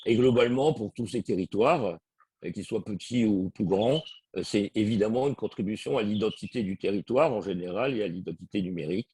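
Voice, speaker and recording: Male webinar guest, lowish husky voice, halting, repetitive phrasing. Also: darkish tone. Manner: hesitant, repeating words. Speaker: guest speaker. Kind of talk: webinar presentation.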